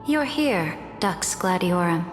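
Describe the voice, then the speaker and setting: An actress playing a cold, commanding, ruthless empress in a video game, with an imperious, measured and solemn delivery. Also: female voice, on the low side, animated. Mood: cool greeting. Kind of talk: video game drama dialogue. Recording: echoey reverberant hall, music behind.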